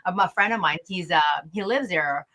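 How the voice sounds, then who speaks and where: Bright-voiced chatty Canadian woman. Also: fairly low pitch, moderate pace. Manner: chatty, lots of "like", laughs easily. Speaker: co-host. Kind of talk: casual chat on a livestream.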